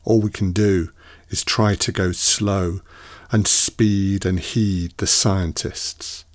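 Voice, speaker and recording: Man, lowish pitch, unhurried, dry close recording, measured rhyming poem recital. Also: steady tone, no room sound. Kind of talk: rhyming poetry reading.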